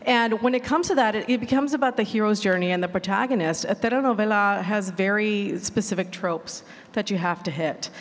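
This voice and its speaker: A woman's voice: medium pitch, a little fast, hardly any pauses. Woman panelist in a reverberant hall. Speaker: panelist, writer. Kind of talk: casual panel talk, explaining.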